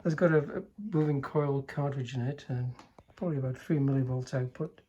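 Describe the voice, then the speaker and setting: Older man, relaxed dark tone, casual hands-on demonstrator, understated, halting delivery. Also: medium pitch, husky. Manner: understated, hesitant, trailing remarks. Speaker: radio repairer demonstrating a restored chassis.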